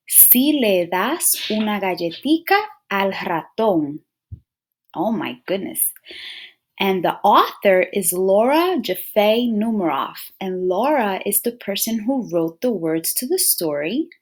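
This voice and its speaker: A woman teacher reading a picture book aloud to young children, expressive and often pausing to put questions to her listeners. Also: medium pitch. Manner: expressive, addresses listeners as friends and scholars, checking in with questions.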